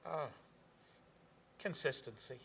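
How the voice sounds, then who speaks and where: A man's voice: a little high, slow pace, gravelly, sharp tone, a little hiss or hum. Hesitant man, a theater director. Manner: hesitant, lots of fillers, chuckles. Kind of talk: conversational interview answers. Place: theater stage.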